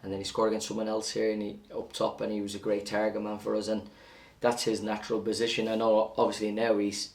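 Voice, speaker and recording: Low, even-toned football coach. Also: male voice, gravelly, far from the mic. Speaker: football coach interviewed after a match. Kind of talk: post-match interview.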